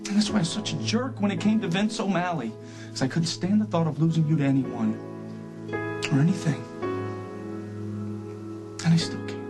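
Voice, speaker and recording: An earnest male voice from an actor plays a devoted soap opera lover, protective and stubborn. He pleads in halting phrases at a medium-high pitch for a man. Clear dramatic music runs beneath him. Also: animated, close to an everyday voice. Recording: TV drama with prominent music underneath.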